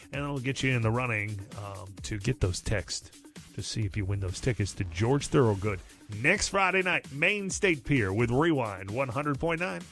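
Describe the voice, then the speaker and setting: A man's voice: mid-range voice, a bit bright. Male radio DJ talking over music. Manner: conversational, emphatic, enthusiastic promotion. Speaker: radio DJ, music station host. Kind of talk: upbeat music radio DJ patter between songs. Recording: radio broadcast with music behind.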